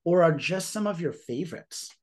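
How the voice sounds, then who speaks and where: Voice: mid-range voice, expressive, moderate pace, a little mellow. Cheerful interview host, gushes, keeps it light and easy. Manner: upbeat, gushing. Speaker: podcast host. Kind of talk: podcast interview.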